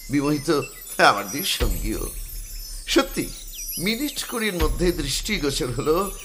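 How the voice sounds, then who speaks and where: A cheerful young male art student narrates, as a man voices him. His tone is high and climbs and dips a lot, the telling expressive and literary, in the manner of a radio play. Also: full character voice. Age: young adult. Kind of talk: dramatic audio-drama narration.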